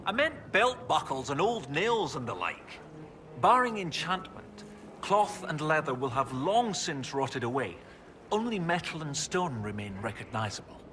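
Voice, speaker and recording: An actor playing an enthusiastic research professor in a video game, explaining things in a pedantic, eager way. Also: male voice, medium pitch, pushed and bright, slight background noise. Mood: eager, amused. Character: enthusiastic, bookish male research professor and archaeologist. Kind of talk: video game dialogue acting.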